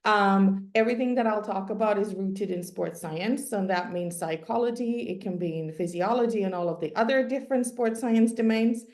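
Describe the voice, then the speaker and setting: Finnish-native woman presenting a sports science webinar, mid pitch, lots of ums, echoey room. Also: moderate pace, few pauses, darkish tone. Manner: explanatory, with frequent ums and restarts. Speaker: sports psychology consultant. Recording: roomy echo.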